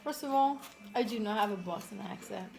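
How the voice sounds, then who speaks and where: A woman's voice: mid-range voice, brisk, vocal fry, darkish tone. A woman in her thirties with a New York accent, speaking bluntly and emphatically in casual chat. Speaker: mukbang co-host. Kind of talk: casual chat while eating.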